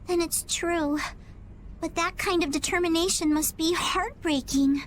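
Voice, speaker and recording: A young girl pirate with a high, light voice who asks curious, probing questions in a gentle way. Faint noise sits under her lines. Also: steady tone, a little slow.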